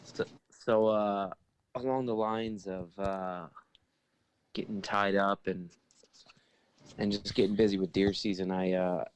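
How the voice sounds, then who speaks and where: A man with a low, clear voice calls into a casual show. His delivery is halting and quite monotone, with a dry, close sound. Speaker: caller on a live podcast. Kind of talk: casual chat.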